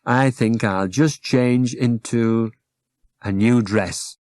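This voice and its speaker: A man's voice: medium pitch, slow, a little mellow, dry recording, close to an everyday voice. Man reading as a sensible, choosy girl doll. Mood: fussy, reluctant.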